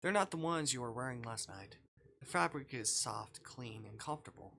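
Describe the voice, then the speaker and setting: A man's voice: medium pitch, lively intonation, normal speed, creaky, bright, forceful tone, slight background noise. A man who streams games, reading a visual novel aloud in a dramatic narrating manner. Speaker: game streamer.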